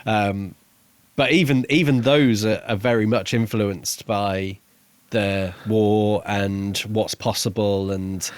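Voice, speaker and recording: A man co-hosting a podcast, discussing a book casually and haltingly, thinking aloud in repeated short phrases. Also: fairly low pitch, steady tone, dry recording. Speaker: podcast co-host reviewing a book.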